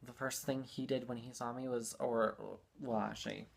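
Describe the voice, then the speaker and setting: A young adult with a mid-pitched voice talks casually and earnestly, as a guest sharing personal stories. The delivery is emphatic and rambling, circling back over phrases to stress them. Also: steady tone, moderate pace, creaky, breathy edge. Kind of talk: casual heartfelt storytelling.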